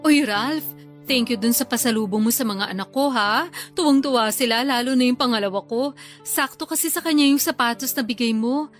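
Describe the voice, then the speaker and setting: A woman's voice: fairly high pitch, fairly quick, soft background music, natural voice. An actress playing a blunt, self-pitying older sister and struggling mother who complains defensively in a podcast drama. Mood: grumbling. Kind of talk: Filipino podcast radio drama dialogue.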